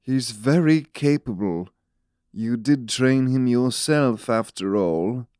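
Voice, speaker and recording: An actor playing a government minister in his thirties in a fantasy audio drama, with a noble upper-class accent and a measured, formal manner. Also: mid-range voice, a little mellow, smooth, no room sound, clean studio sound, close to an everyday voice. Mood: grave, measured. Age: 30s.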